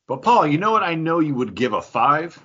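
A man's voice: fairly high pitch, big pitch swings, fairly quick, sharp tone, slightly rough, lo-fi sound. A man hosting a podcast and introducing topics in a casual, conversational way. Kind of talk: casual chat.

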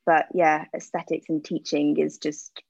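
A woman's voice: low-pitched, level tone, a little slow, vocal fry, a little mellow, husky, dry recording. A woman, chatting candidly in an interview with frequent fillers. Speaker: interview guest, aesthetic practitioner. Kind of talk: casual interview answers. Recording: dull lo-fi sound.

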